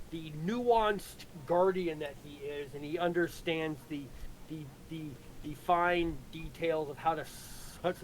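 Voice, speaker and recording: Male writer, fairly high voice, loose casual recap with halting repeats, some noise behind. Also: poor audio. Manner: halting, repeats words while explaining. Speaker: writer of an audio drama. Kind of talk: casual rambling story recap on a livestream.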